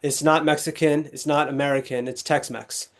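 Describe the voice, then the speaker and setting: A young man from Texas, a legal scholar, speaking as a guest in an interview, articulate and measured with small restarts. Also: fairly high pitch, flat delivery. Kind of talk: conversational interview storytelling.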